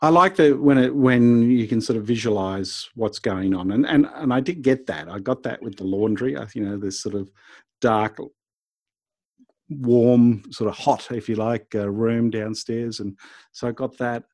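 A man's voice: mid-range voice, normal speed, darkish tone, no room sound, clean studio sound. A man co-hosting a podcast, thinking aloud in a casual, conversational discussion about storytelling. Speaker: podcast co-host.

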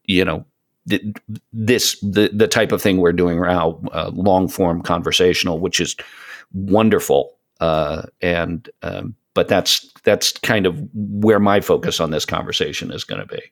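A man talking reflectively as a podcast co-host, hesitant, often stammering on the starts of words and pausing mid-thought. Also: low voice, fairly even intonation. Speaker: podcast co-host, audio content creator. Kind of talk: reflective casual podcast conversation.

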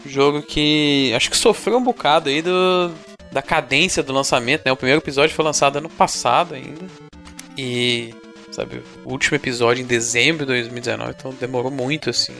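Relaxed man with a Minas Gerais accent, bright tone, rambling podcast co-host. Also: mid-range voice, brisk, creaky, soft background music. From Minas Gerais, Brazil. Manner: relaxed, rambling, with many restarts. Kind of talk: casual podcast chat.

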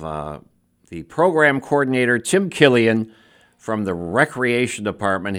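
A man's voice: on the low side, bright, forceful tone. A man filling in as a radio host, chatting casually in a folksy, bantering way. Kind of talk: casual local radio talk.

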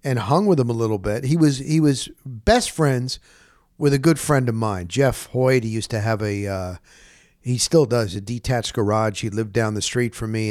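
A man's voice: fairly low pitch, a little mellow, no echo, studio quality. A middle-aged man hosting a show, talking informally and reflectively in loose, rambling stories. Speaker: interview show host. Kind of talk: casual, reflective monologue and interview chat.

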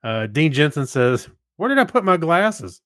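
A man's voice: fairly high pitch, big pitch swings, moderate pace, fairly bright tone, dry recording, clean studio sound. A man co-hosting a podcast, reading notes and trivia and trading wry, casual banter. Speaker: podcast co-host. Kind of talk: casual chat with listener feedback and trivia.

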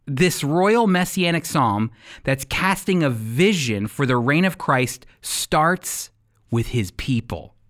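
An American man teaching the Bible like a radio preacher, with emphatic, deliberate phrasing and rhetorical questions. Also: a little high, expressive, fairly bright tone. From United States. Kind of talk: sermon-style Bible teaching with scripture reading.

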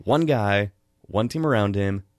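A man's voice: on the low side, lively intonation, unhurried, no echo, studio quality. An American man hosting a review show, talking casually as he gives his take. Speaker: host of a film and book review show.

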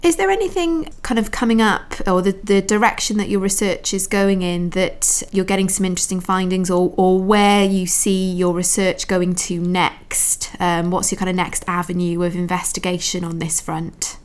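A woman's voice: lowish voice, fairly even intonation, moderate pace, few pauses, smooth. A woman interviewing in a conversational academic style, phrasing her questions in a wordy, exploratory way.

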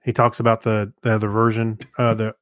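A man's voice: fairly low pitch, monotone, fairly quick, dry recording. Man in his fifties, casual, very dry sound. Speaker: podcast co-host. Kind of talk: casual chat, reminiscing.

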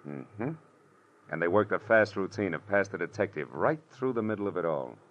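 Low-voiced hard-boiled private detective. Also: male voice, expressive, normal speed, a bit bright. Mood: wry, explanatory. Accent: American. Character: hard-boiled male private detective, shrewd, world-weary, sardonic. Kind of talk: 1940s radio detective drama acting and narration. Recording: muffled old radio broadcast, music behind.

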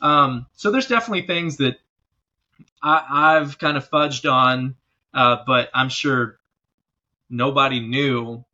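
A man's voice: mid-range voice, slow, bright, forceful tone, dull audio. A man speaking casually and informally as an interview guest, a working musician talking about his job. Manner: informal, often checking in with "you know". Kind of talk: casual chat.

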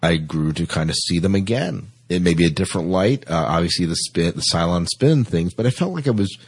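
A man's voice: deep voice, lively intonation, a little fast, slightly rough. A man hosting a podcast, chatting casually and enthusiastically as a science fiction fan, often repeating himself for emphasis. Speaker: podcast host. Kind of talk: casual fan discussion of sci-fi TV.

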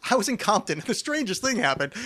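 A man from New Jersey hosting a podcast, bantering crudely and mocking himself, with lots of quick restarts. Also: high voice, sing-song, bright, forceful tone. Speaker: podcast host.

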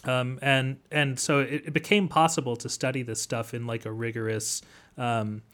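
Guy professor type on an interview, mid pitch, thinks out loud, stops and starts, wordy. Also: level tone. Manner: halting, thoughtful, wordy explaining. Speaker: guest, academic author. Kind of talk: interview conversation.

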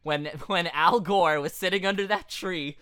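A man co-hosting a podcast in casual comedic chat, jokey and quick to riff or interject. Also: high-pitched, bright, forceful tone, clean tone, dry recording. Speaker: podcast co-host.

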